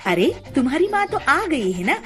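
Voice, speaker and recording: A woman's voice: high-pitched, sing-song, moderate pace, fairly bright tone, pitched above the performer's own voice. A woman voicing a chatty, kind-hearted female bird in a children's cartoon, with an exclamatory, caring manner. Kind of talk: children's cartoon story acting. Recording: clear music behind the voice.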